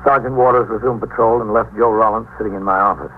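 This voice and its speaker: A low-pitched American actor playing a police captain comes through a narrow, tinny old radio broadcast. He is steady and businesslike, reporting events in measured, matter-of-fact radio drama style. A clear layer of noise sits on the recording. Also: natural voice. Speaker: narrator and lead actor. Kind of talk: 1950s radio police drama acting and narration. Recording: muffled, noisy 1950s radio broadcast.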